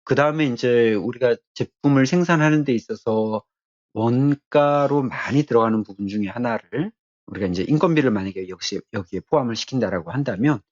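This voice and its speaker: A man giving an explanatory technical lecture as an instructor, speaking haltingly and repeating his key phrases. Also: mid-range voice, no room sound, lo-fi sound.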